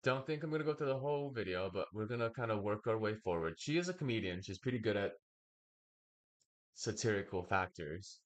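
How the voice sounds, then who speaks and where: A young man, raised speaking Bulgarian first, giving a slow, wordy commentary full of pauses as he reacts to a video. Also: fairly low pitch, lively intonation. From United States. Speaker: reaction streamer. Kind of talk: casual commentary.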